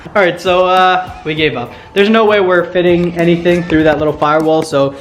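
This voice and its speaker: A young man casually talking through a car light install for his vlog, excited and slangy, saying "dude" a lot. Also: on the high side, a little fast. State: voice getting hoarse. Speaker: car vlogger. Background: background music.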